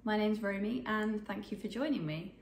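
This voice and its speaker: A woman's voice: medium pitch, moderate pace, echoey room, slight background noise. A woman in her twenties with a bit of a cold, chatting casually about sewing to camera from her sewing room, rambling with lots of ums. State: snuffly from a cold. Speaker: sewing vlogger. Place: home sewing room, indoors.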